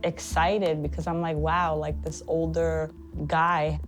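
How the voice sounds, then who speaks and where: Low-voiced woman, casual survivor testimony. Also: little pitch movement, takes it slowly, soft background music. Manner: casual, conversational with fillers. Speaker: trafficking survivor. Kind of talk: interview testimony.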